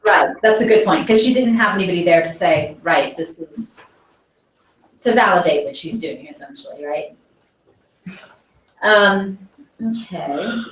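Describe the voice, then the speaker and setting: A woman's voice: on the low side, lively intonation, a little slow, pauses often. Distant woman teacher in an echoey room. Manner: thinking aloud, many restarts and fillers. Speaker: art history instructor. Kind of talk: classroom discussion. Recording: echoey classroom, distant mic.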